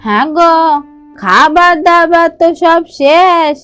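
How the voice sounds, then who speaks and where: A woman plays a poor village wife in a cartoon folk tale. Her voice is extremely high. She is plaintive, homely and caring, fussing over her husband, with faint music behind her. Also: lively intonation, takes it slowly, fairly bright tone, exaggerated voice. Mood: anxious. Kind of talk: cartoon voice acting.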